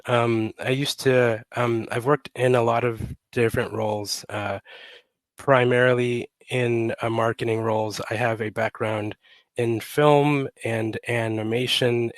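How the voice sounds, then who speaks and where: A man in his thirties talking slowly with frequent pauses, slightly raspy from a cold, as a podcast guest on a headset at home. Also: mid-range voice, a bit bright, no echo. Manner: slow, deliberate, with many pauses and fillers. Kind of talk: casual interview. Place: home room, indoors.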